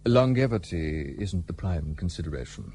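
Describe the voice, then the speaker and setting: Gruff loner gentleman for a period radio play: low, mellow, curt and formal. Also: big pitch swings, normal speed, slight background noise. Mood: reserved, dry. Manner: curt, dry, formal, old-fashioned. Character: gruff, guarded, well-travelled English gentleman seeking isolation. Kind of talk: period radio drama acting.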